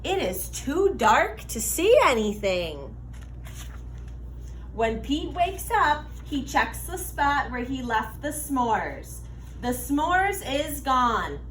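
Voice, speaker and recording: A woman reading a children's picture book aloud in an animated, deliberate way for young listeners. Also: high-pitched, echoey room, a little hiss or hum, poor audio. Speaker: read-aloud storyteller for children.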